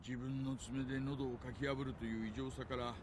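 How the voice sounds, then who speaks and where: A man's voice: mid-range voice, flat delivery, very fast, natural voice. An actor playing a genial, wily older male detective in a visual novel, polite and roundabout in manner. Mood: probing, polite. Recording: insect ambience, clear background noise.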